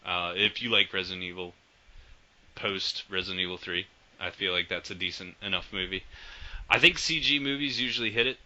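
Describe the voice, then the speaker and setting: A man co-hosting a casual podcast, his voice very bright and forward, a little on the low side. He rambles animatedly and laughs easily, and the recording is dull and lo-fi. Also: a little fast. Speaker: podcast co-host.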